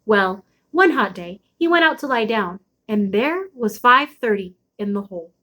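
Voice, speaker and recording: A woman reading a children's chapter book aloud expressively, with dramatic pauses and drawn-out emphasis. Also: mid-range voice, lively intonation, sharp tone. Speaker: storybook read-aloud host.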